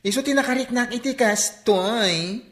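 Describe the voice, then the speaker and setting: A woman's voice: on the high side, unhurried, roomy sound, natural voice. A woman playing an affectionate, insistent young adult in a Filipino radio drama, talking colloquially. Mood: defensive. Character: affectionate young adult defending a sweetheart against parent.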